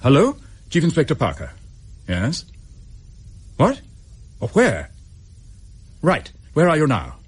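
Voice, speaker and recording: An actor playing a dutiful English chief inspector in a radio drama, clipped and businesslike. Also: male voice, a little high, huge pitch swings, slow pace, pauses often, breathy edge, heavily acted. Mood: brisk, urgent.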